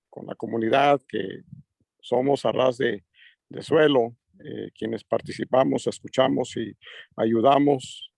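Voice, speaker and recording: A man giving spontaneous remarks at a book forum as a migrant community organizer, halting and earnest with many fillers. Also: mid-range voice, creaky, darkish tone, no echo.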